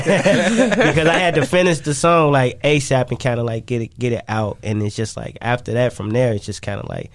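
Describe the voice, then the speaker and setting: A man's voice: medium pitch, moderate pace, dry recording. A man with a Chicago accent talking casually as an interview guest, slangy and repeating himself for emphasis. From Chicago, United States. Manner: slangy, repetitive, emphatic phrasing. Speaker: guest, independent music artist.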